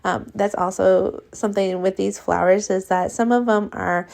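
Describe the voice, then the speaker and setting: A woman in her thirties, hoarse and breathy while recovering from illness, chatting casually as she makes a card and laughing often. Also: mid-range voice, steady tone, moderate pace, a little mellow. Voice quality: croaky and breathy. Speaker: card-making crafter. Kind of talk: casual crafting vlog.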